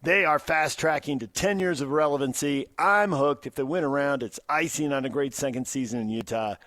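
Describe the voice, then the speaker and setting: A man's voice: fairly high pitch, brisk, a bit bright, no room sound. An American man hosting a conversational sports talk radio show.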